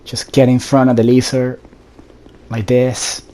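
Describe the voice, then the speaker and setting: A man giving casual, low-key gameplay commentary in muttered asides, as a player does while playing. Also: little pitch movement, measured pace, warm tone. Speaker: video game player commentating. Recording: background noise, game ambience. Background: game sounds.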